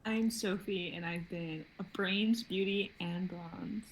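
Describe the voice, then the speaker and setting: A woman's voice: on the low side, steady tone, slow pace, a little hiss or hum, rough recording. A woman in her twenties chatting casually within a group of performers. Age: 20s. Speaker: member of a reading group.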